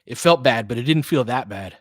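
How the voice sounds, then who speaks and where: A man's voice: medium pitch, animated, a little fast, fairly bright tone, dry recording, studio quality. A man hosting a casual live basketball stream, mixing play-by-play with conversational, slangy analysis as he thinks aloud. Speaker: host and play-by-play commentator.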